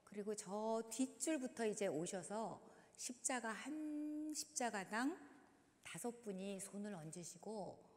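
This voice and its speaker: Hoarse woman, church movement leader, slow instructive delivery, long hall reverb. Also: mid-range voice, lively intonation, darkish tone. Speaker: dance leader in a church service. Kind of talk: guiding a congregation through movement. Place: large church sanctuary.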